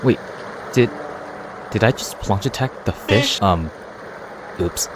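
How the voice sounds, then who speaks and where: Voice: low voice, slow. A person reacting casually while playing a video game. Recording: clear background noise.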